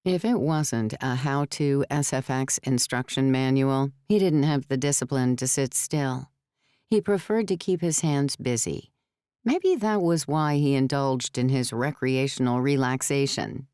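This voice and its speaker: A woman's voice: a bass voice, measured pace, mellow, clear, resonant tone, no room sound, studio quality. A woman reading scripted third-person fiction narration as an audiobook narrator.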